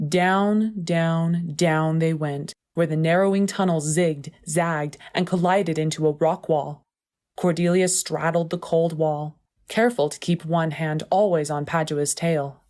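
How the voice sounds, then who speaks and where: A woman reading scripted fiction as a measured, dramatic audiobook narrator. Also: low voice, fairly even intonation, darkish tone.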